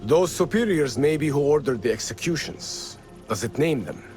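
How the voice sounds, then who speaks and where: A man's voice: on the high side, expressive, moderate pace, lightly acted. A man playing a fiery rebel leader. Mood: grave. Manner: rousing, oratorical. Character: male rebel leader, fiery preacher of revolt. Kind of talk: video game cutscene acting. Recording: game audio with music.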